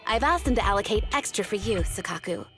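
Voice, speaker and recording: A woman's voice: mid-range voice, animated, fast, a bit bright, soft background music. A woman playing a composed, strict female deputy chief in a video game, formal, precise and matter-of-fact.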